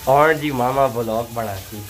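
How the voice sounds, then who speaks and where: Slow-speaking young Pakistani man vlogging outdoors. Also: medium pitch, low-quality recording. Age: 20s. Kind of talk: casual vlog chat. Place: outdoors at a block-walled building site and lane. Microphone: phone at arm's length. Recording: clear outdoor background noise.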